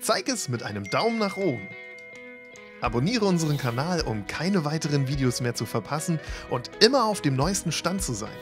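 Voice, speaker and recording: A man reading a scripted voice-over for an event report in a lively, upbeat way, with a slightly husky voice. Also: on the high side, normal speed. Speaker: channel host narrating event report. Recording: faint music bed behind voice.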